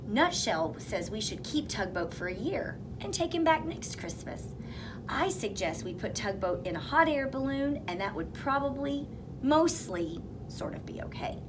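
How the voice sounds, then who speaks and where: A woman at an elementary school reading a picture book aloud, expressive and dropping to a whisper for suspense. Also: fairly high pitch, normal speed, over music. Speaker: reader. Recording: recording with clear background noise.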